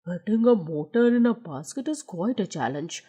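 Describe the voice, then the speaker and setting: A woman voicing a kindly, capable male mechanic, talking in a deep, slow and measured way. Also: lively intonation, dark tone, breathy edge. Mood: calm.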